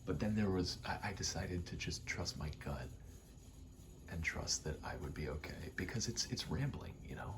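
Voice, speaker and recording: A man softly rambling in a casual ASMR style, breathy and often whispering, sweary and self-deprecating. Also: low voice, lively intonation, normal speed. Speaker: video creator rambling to camera. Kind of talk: soft-spoken ASMR ramble. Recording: clear background noise, rattling vent. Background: rattling vent noise.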